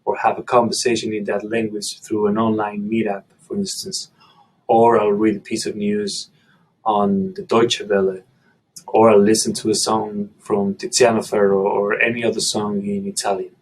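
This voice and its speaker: A man whose first language is Spanish, calmly explaining a language-learning method in a video lesson. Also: on the low side, normal speed, husky, rough recording. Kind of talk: instructional monologue to camera.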